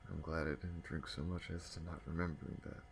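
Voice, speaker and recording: A man playing an alien spaceship captain in an intimate ASMR audio drama, speaking haltingly. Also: very deep voice, steady tone, vocal fry, noisy background. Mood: tender, confessing. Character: adult male alien spaceship captain, tender lover, hardened to enemies.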